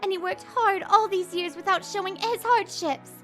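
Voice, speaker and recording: A blunt, fierce woman from a manga video who tears into the man who wronged her, as a woman acts her out. Her voice is very high, with music playing clearly underneath. She scolds sharply and speaks softly when she confides. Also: moderate pace. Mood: angry.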